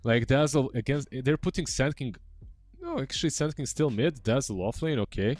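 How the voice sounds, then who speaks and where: A young man casting an esports match, chatting in a relaxed way and often trailing off mid-sentence. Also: mid-range voice, moderate pace, no room sound. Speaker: esports caster. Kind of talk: casual esports commentary.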